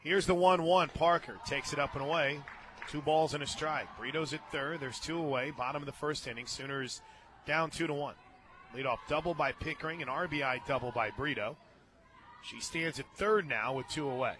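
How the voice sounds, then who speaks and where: A man doing live play-by-play softball commentary on the radio, calling each play in short clipped phrases. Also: a little high, normal speed. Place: outdoor ballpark radio booth. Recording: outdoor crowd noise behind the voice.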